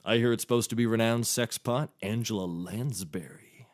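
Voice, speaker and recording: An actor playing a sensible, articulate private eye with a dry, teasing, wordy way of talking. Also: male voice, lowish voice, moderate pace, lightly acted. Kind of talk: comedic mock-noir dialogue.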